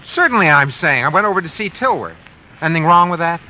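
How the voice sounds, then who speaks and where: Vintage radio crime drama: callous schemer, melodramatic, widely swinging pitch, noisy old recording. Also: male voice, fast talker, lo-fi sound. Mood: defensive. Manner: sneering, excitable, melodramatic. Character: callous, scornful murderer who bluffs and panics. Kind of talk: 1940s radio suspense drama acting.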